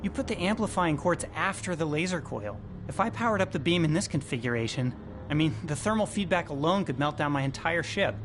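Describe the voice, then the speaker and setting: An actor playing an anxious, earnest spaceship survivor in a video game, with a dry conversational delivery. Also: male voice, fairly high pitch, expressive, brisk, noisy background, natural voice. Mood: exasperated. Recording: game music behind the voice. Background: game background music.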